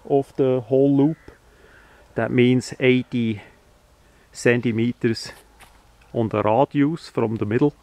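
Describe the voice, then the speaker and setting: Man explaining slowly in halting short phrases. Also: mid-range voice, darkish tone, no echo. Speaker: hobbyist presenter. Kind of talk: unscripted how-to demonstration. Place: outdoors, over a ground tarp.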